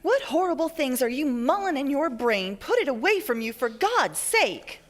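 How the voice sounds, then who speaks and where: An overwrought old wife acted by a woman in a stage radio play, exclaiming melodramatically. Her voice is high and swoops widely, drawn out slowly in an echoing hall. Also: big pitch swings. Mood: horrified pleading. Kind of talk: theatrical live radio drama acting. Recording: echoey hall, live stage.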